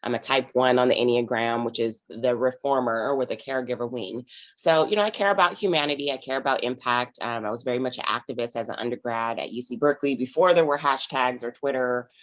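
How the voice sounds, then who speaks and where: A woman in her forties with a raspy morning voice, an investor guest telling her story in a rambling way full of "you know" fillers over a video call. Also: rumbling low, fast, dull audio. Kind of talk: casual conversational storytelling.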